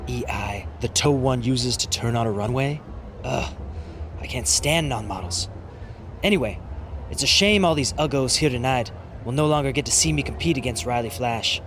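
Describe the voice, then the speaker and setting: A man's voice: mid-range voice, animated, normal speed, noisy background, close to an everyday voice. A man playing a vain, snobbish fashion-model wrestler in a video game cutscene, speaking in a pompous, haughty manner. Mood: haughty, disdainful.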